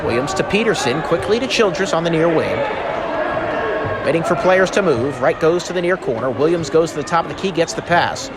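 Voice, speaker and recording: A man giving excitable live play-by-play radio commentary of a basketball game, calling out each play. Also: on the high side, brisk. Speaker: play-by-play announcer. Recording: very loud arena crowd noise. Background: basketball game crowd and bouncing ball.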